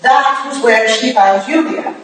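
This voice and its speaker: A woman's voice: fairly high pitch, a little slow, natural voice. A woman playing a commanding, analytical Victorian lady detective in a staged play reading, recorded in an echoey hall. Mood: reasoning. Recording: echoey hall from far off, faint noise.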